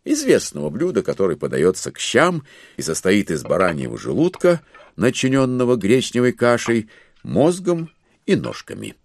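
A man narrating a dramatized literary classic in an expressive, wry storytelling manner. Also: fairly low pitch, normal speed, no room sound.

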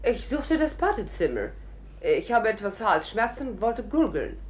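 A woman's voice: mid-range voice, big pitch swings, normal speed, close to an everyday voice. An actress in a 1950s German radio play voicing an effusive, chatty and bold tourist lady. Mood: innocent, chatty. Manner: effusive, theatrical. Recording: noisy old radio recording.

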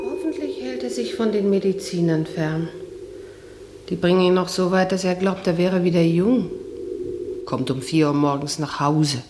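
An actress playing a sensual, dreamy, plain-spoken wife who muses to herself in a German radio drama monologue. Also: female voice, on the low side, normal speed, noisy background, lightly acted. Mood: wry. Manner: musing, intimate, talking to herself.

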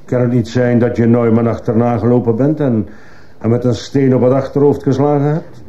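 A man's voice: medium pitch, level tone, a little fast, warm tone, natural voice. A man playing a stern, probing judge who fires insistent questions in a radio courtroom drama. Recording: muffled, hissy old radio play recording.

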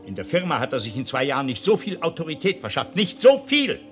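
A man's voice: a little high, wildly swooping pitch, fast, a bit bright, faint music behind. An actor playing a domineering, hot-tempered middle-aged father and company boss in a radio drama, imperious and sarcastic. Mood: indignant, scornful.

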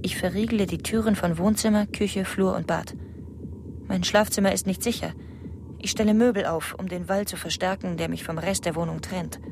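A woman's voice: on the low side, fairly even intonation, normal speed, noisy background, close to an everyday voice. An actress playing an anxious, fearful wife in a radio drama, narrating her night thoughts in clipped fragments. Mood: anxious, detached. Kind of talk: radio drama inner monologue.

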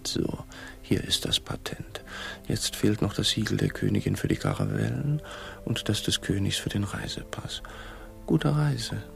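An actor playing a weary, officious and bribable patent office director in a satirical radio play, with a pompous bureaucratic manner. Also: male voice, lowish voice, a little slow, vocal fry, whispered, faint music behind. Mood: businesslike, greedy.

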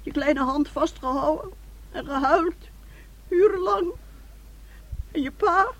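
An actress playing a domineering, guilt-tripping middle-aged mother in a radio play, with a husky voice and scolding, melodramatic delivery. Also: high voice, takes it slowly, a bit bright, full character voice, low-quality recording. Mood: wounded reproach.

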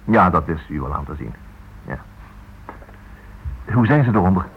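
A polite, probing writer in a Dutch radio drama. His lowish, husky voice swings in pitch as he hesitates and repeats himself. The old recording is muffled and narrow-band. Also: very animated, normal speed, heavily acted. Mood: awkward. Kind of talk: Dutch radio play acting.

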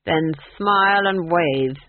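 A woman's voice: on the low side, slow pace, no room sound, narrow, tinny audio. A woman voicing an unhinged male duke in an audiobook, pompous and falsely cheerful, with a wheedling manner that swings in mood. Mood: smug menace.

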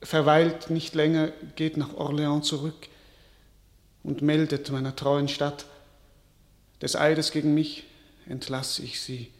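Weary young monarch, slow solemn declamation, higher-pitched voice, roomy echo, classical radio play. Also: male voice, flat delivery. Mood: despairing, solemn. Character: despairing, weary young king resigned to defeat. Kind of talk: classical German stage verse radio drama.

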